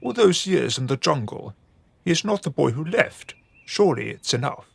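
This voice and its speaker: A man's voice: fairly high pitch, big pitch swings, moderate pace, creaky, fairly bright tone, husky, no echo, heavily acted. A man playing a pitying townsperson who pleads for mercy in a radio drama. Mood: pleading.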